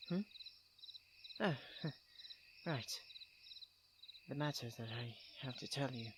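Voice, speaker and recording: A man acting a proud but secretly tender young prince in an ASMR roleplay, posh and halting, teasing and easily put on the defensive. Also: medium pitch, background noise. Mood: wistful, hesitant.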